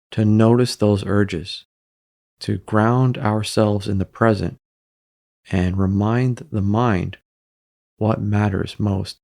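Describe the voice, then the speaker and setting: A man narrating a guided meditation in a calm, unhurried way, pausing between short phrases. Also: on the low side, gravelly, no echo, studio quality.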